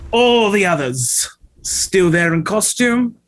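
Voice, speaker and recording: A man's voice: sits high, animated, takes it slowly, fairly bright tone, full character voice. A man playing a vain, gossipy ballet dancer. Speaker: actor in a table read. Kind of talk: script table read.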